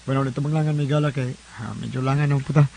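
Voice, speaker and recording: A man's voice: mid-range voice, steady tone, rapid-fire, creaky, darkish tone, a little hiss or hum. A man hosting a radio drama program, giving a casual, jokey introduction to listeners.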